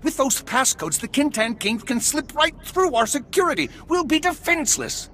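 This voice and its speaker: Nervous minister for a video game: high-pitched, very lively intonation, fretful. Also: male voice, moderate pace, exaggerated voice. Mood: alarmed. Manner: fretful, dramatic. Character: anxious male government minister fearful of violent gangs.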